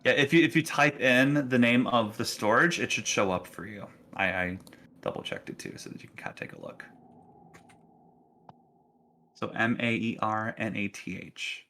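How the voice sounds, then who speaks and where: A man's voice: medium pitch, moderate pace, pushed and bright. A man working as game master, narrating casually in an easygoing, encouraging way. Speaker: game master of a tabletop roleplaying game.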